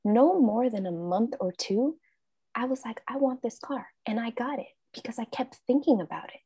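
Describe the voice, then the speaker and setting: A woman's voice: fairly high pitch, normal speed, slightly rough, no room sound, clean studio sound. A woman life coach, a guest in an interview, talking casually and acting out her own thoughts in quotes. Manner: animated, acts out her own quoted thoughts, frequent laughs.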